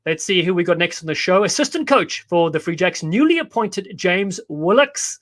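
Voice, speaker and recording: A man's voice: sits high, big pitch swings, moderate pace, a bit bright. A man hosting a live online show, chatting upbeat and friendly with his guests. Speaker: host. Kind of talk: casual live show interview.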